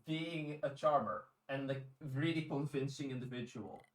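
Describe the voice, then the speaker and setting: A man's voice: mid-range voice, normal speed, roomy sound, rough recording. A man co-hosting a podcast in casual conversation, often repeating words as he starts his sentences. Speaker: podcast co-host. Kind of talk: casual discussion of audiobooks.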